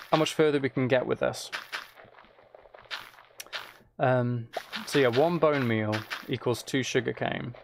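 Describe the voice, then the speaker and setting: A man's voice: mid-range voice, vocal fry, a little hiss or hum. A man live streaming, giving casual gameplay commentary and musing aloud as he chats to viewers.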